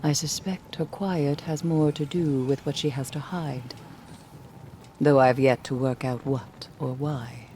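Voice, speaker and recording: An actress playing a solemn, brooding mystic scholar in a video game, with formal, theatrical, dryly sardonic phrasing. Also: female voice, rumbling low, fairly even intonation, measured pace, dark tone, slight background noise. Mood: weary, sardonic. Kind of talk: video game cutscene acting.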